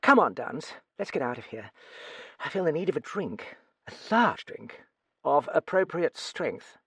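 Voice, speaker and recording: A man doing a nervous, sharp young woman. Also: fairly high pitch, very animated, a little slow, slightly rough, no echo, pitched above the performer's own voice, exaggerated voice. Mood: brittle.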